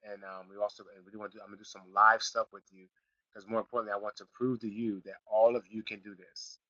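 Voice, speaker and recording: A middle-aged man from Philadelphia hosting a live online marketing class, slightly raspy from a sniffle, repeating himself and building to emphatic points. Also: lowish voice, flat delivery, quick pace, pushed and bright, dry recording, lo-fi sound. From Philadelphia, Pennsylvania. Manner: repetitive, restarts phrases, builds to emphatic points. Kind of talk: live teleseminar class, casual coaching.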